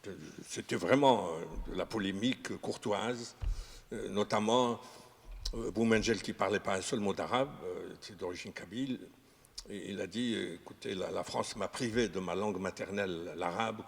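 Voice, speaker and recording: A man with a husky, slightly low voice talks through historical detail in an unscripted discussion. He hesitates often and restarts his sentences. The sound carries a long reverberant tail. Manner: halting, many fillers and restarts. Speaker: historian guest. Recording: reverberant room.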